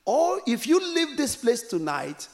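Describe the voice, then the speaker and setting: Male preacher from Africa, high and lively, whispers and exclaims in turns. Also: a little slow. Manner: swings from hushed whispers to loud exclamations; laughs while telling a story. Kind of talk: sermon.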